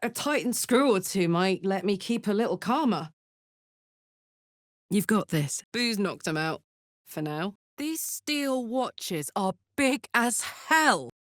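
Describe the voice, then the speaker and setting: A woman playing a big, horned hellspawn warrior in a video game, brash and casual, warm-hearted, playful and hot-tempered. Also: mid-range voice, expressive, slow pace, no echo, studio quality. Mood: breezy, matter-of-fact. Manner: brash, casual, emotionally open. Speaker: video game character voice actor. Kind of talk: expressive video game voice acting.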